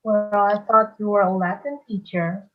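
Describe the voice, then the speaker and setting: A woman playing a bewildered boy newcomer, halting and incredulous, in a theatre table read. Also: medium pitch, steady tone, natural voice. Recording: dull lo-fi microphone.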